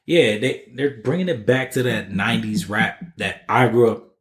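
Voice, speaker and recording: A man's voice: mid-range voice, moderate pace. An American man chatting casually and enthusiastically about music on a podcast, with slangy, profane language. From US East Coast. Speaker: podcast panelist. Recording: roomy, echoey room.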